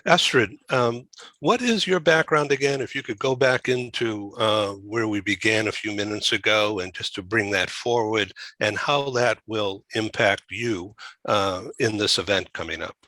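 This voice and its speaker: A man hosting a conversational interview, asking halting, rambling questions. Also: on the low side, pushed and bright, no room sound, rough recording. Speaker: talk show host.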